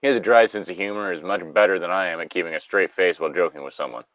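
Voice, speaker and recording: A man with a very low voice reads and chats casually as a co-host, dropping joking asides. The sound is close and dry, with a slightly dull, lo-fi edge. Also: very fast, a bit bright, dry recording. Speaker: co-host of a story reading show. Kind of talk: casual reading and banter.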